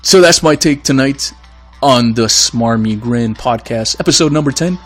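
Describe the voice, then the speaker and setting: A man's voice: medium pitch, moderate pace, soft background music. A man from Florida hosting his own podcast, ranting bluntly and with exasperation while he is losing his voice. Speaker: podcast host. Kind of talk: solo podcast rant.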